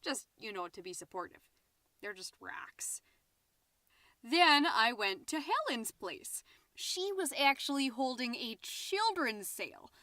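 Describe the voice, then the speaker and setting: A woman's voice: a little high, sing-song, takes it slowly, bright, forceful tone, no room sound, exaggerated voice. A woman playing a gossipy, petty, easily flustered middle-aged American suburban mom, chattily hosting her podcast in a scripted comedic monologue. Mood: chatty, wry. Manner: chatty, indignant, with muttered asides. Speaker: podcast host.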